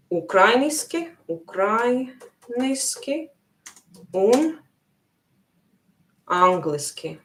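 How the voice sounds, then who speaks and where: A woman with a Latvian accent teaching a live language lesson, slowly repeating phrases for her learners. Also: lowish voice, a bit bright, reverberant.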